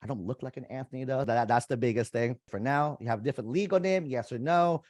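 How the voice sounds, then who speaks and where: A man's voice: medium pitch, quick pace, darkish tone, dry recording. A young man, a college admissions coach whose first language is Vietnamese, talks viewers casually through an online application step by step. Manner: casual, conversational, addresses viewers as "you guys". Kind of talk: screen-share walkthrough tutorial.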